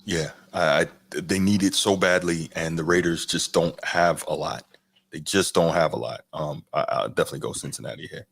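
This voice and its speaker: A man with a very deep, low voice gives football picks in a measured, frank way. The sound is dry and close, with no room around it. Casual podcast chat. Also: gravelly, fairly bright tone, no echo. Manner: measured, frank, agreeable with many mm-hmms. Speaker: podcast co-host.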